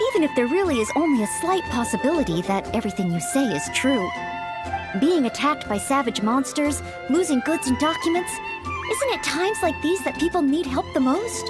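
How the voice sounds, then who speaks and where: A woman voicing a young, dutiful maid knight in a video game, gentle, courteous and formal in an anime style. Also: sits high. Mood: earnest. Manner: polite, formal, eager to help. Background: game music.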